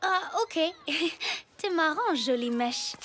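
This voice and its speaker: A woman voicing a perky, sharp-tongued little schoolgirl in a French cartoon. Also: sits high, animated, slow, slight background noise, heavily acted. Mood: friendly. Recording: faint music behind the voice.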